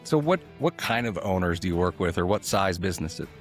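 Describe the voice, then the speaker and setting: Low-voiced man hosting a podcast. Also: lively intonation, fairly quick, faint music behind. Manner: asks short, easygoing follow-up questions. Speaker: podcast host. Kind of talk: podcast interview conversation.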